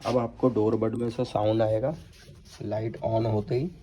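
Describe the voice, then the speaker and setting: A man walks through a hands-on setup as an instructor. His voice is on the low side and dark, with little melodic movement. He explains each step plainly and unscripted, mixing in English technical words. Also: level tone, measured pace, a little hiss or hum. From India. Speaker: product installation demonstrator.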